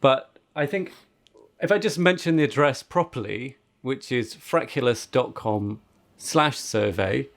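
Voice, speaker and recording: A man's voice: medium pitch, expressive, unhurried, vocal fry. Casual man explaining things on a podcast. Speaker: podcast co-host.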